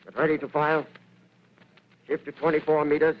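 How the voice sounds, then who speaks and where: An actor playing a tense military artillery officer in a 1930s radio drama, giving clipped, commanding orders. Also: male voice, fairly high pitch, little pitch movement, a little slow, natural voice. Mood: urgent command. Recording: muffled old 1930s radio broadcast.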